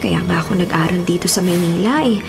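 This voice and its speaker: A woman's voice: lowish voice, fast, creaky. A woman playing a sassy, emphatic young college student with a Filipino accent in a radio drama. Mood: worried, secretive. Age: young adult. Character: sassy young female student who secretly sees spirits. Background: music bed.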